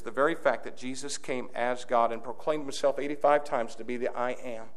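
A man pastor preaching an impassioned sermon, swinging from quiet, intimate passages to loud, shouted declarations. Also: medium pitch, creaky. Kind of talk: fiery sermon.

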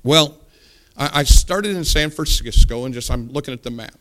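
Brief: man in his 40s, folksy storyteller preacher, mid-pitched, emphatic. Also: normal speed, a bit bright, low-quality recording. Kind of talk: sermon.

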